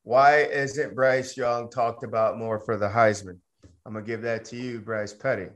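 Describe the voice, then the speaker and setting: A man's voice: medium pitch, steady tone, normal speed. A man hosting a sports podcast, reading listener questions and a sponsor ad in an upbeat, casual way. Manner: upbeat, moves the show along, reads sponsor ad. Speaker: podcast host. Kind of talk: casual sports talk and ad read.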